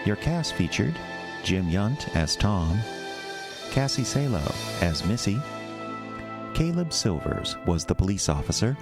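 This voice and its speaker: A man's voice: fairly low pitch, very animated, a little slow. A man reading a scripted announcement as the announcer of an audio drama. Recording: loud music bed behind.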